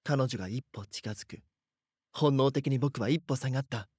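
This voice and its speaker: A husky young male voice acted by a man in a close, dry recording. He plays a timid captive in an audio drama, telling his ordeal in an uneasy, hesitant first person. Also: mid-range voice, moderate pace, a little mellow, dry recording, natural voice. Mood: frightened. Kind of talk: Japanese voice drama acting with first-person narration.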